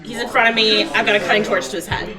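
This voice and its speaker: A woman's voice: mid-range voice, steady tone, quick pace. A woman playing a live tabletop roleplaying game, stating her moves and rolls casually and matter-of-factly. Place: large indoor convention hall, live event. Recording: very loud convention crowd noise.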